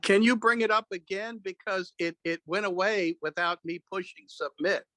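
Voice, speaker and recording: A man with a distinctly high voice speaks up casually as a participant in an online meeting. His sound is dry, without any reverberation. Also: normal speed, bright, forceful tone.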